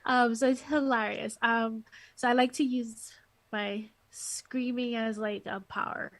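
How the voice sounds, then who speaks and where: A woman, interview guest and music teacher, with a soft, light, sweet speaking voice, chatting casually with lots of fillers over a call. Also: on the high side, flat delivery, measured pace, no room sound. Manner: rambling, filler-heavy, giggly. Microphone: headphones on a call.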